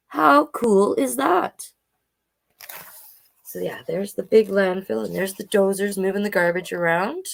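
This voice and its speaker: A woman's voice: fairly low pitch, gravelly. A woman hosting a storytime, reading aloud to children and chatting to listeners with questions and asides. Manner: conversational, addresses listeners with questions.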